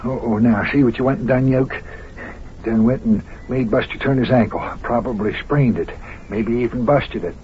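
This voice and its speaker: A man's voice: mid-range voice, normal speed, lo-fi sound, close to an everyday voice. Man acting a blustery small-town mayor, thin sound. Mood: scolding. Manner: folksy, ungrammatical, scolding. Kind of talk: old-time radio comedy acting. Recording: thin, noisy old radio recording.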